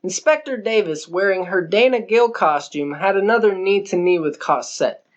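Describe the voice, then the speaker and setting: A man's voice: sits high, moderate pace. Male audiobook narrator reading a novel.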